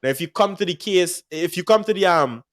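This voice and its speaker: Guyanese guy, chatty storyteller, laughs a lot, voice sits a bit high. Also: fairly quick. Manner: animated storyteller, laughs often. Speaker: podcast host. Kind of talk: casual chat.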